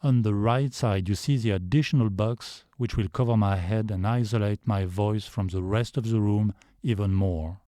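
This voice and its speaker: A man with a deep voice giving a calm, measured voice-over tutorial. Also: no echo, studio quality. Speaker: video creator. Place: foam vocal booth.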